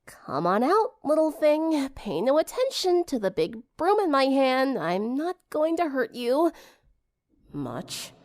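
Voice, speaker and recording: A woman's voice: sits high, big pitch swings, slow, big-room sound, voice pushed up, full character voice. A woman voicing a feisty, sarcastic, easily startled teenage girl in an audiobook, with an exclamatory, sardonic manner. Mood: coaxing, threatening. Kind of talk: audiobook character dialogue.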